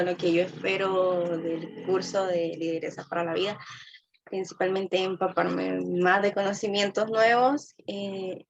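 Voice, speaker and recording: Low-voiced young woman, lo-fi call. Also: vocal fry, breathy edge. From El Salvador. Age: young adult. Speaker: workshop participant. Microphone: video call. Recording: dull lo-fi video call audio.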